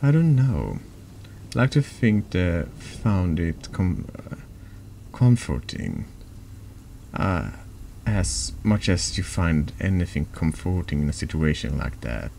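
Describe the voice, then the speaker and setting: A man plays a patient, tired priest in a horror text game. The voice is extremely deep and gravelly, gently reassuring and a little hesitant, at a slow pace. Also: big pitch swings, slight background noise, full character voice. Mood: hesitant, earnest. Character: gentle, patient, weary priest hearing a night confession.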